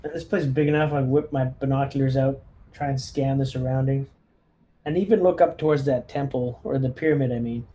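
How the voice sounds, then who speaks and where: A man's voice: medium pitch, steady tone, fairly quick, dark tone, a little hiss or hum. A man chatting casually as a player in a tabletop roleplaying game, talking through his moves.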